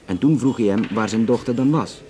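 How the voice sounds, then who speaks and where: An actor with a Flemish accent playing a matter-of-fact, talkative Belgian police investigator in a naturalistic radio drama, explaining conversationally with some hesitation. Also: male voice, fairly low pitch, fairly quick, warm tone, lightly acted. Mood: matter-of-fact, narrating.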